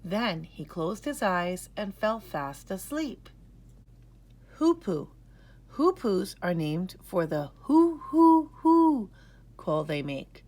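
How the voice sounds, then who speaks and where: A woman reading a children's storybook aloud, with expressive, measured, careful enunciation. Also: mid-range voice. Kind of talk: scripted read-aloud narration.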